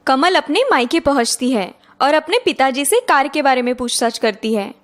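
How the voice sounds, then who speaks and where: A woman's voice: sits high, normal speed. A woman narrating a scripted cartoon story.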